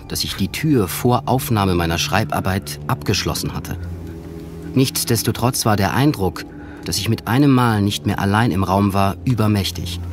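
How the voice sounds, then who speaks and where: An actor playing an educated gentleman, narrating and speaking formally in an eerie audio drama. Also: lowish voice, lightly acted. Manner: measured, formal, literary. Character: educated gentleman recounting an eerie past adventure. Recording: music under the voice.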